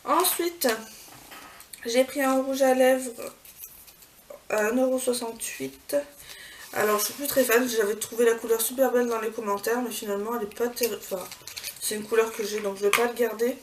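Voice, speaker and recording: A chatty woman vlogger walks through her beauty haul, pausing on fillers and correcting herself as she goes. Her voice sits a little high, and she sounds set back from the mic. Steady background noise is clearly heard. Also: recorded from a distance, rough recording. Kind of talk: casual haul video.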